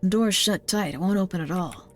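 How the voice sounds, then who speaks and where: An actor playing a lost man trapped in a strange place, who mutters to himself in puzzlement with a gravelly voice. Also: sits high, brisk, slight background noise, natural voice. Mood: puzzled. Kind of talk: game dialogue.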